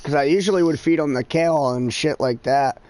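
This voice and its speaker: A man's voice: a little high, fairly quick, darkish tone. A man in his thirties chatting casually while streaming a game, rambling, profane and exasperated. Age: 30s. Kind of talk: casual chat.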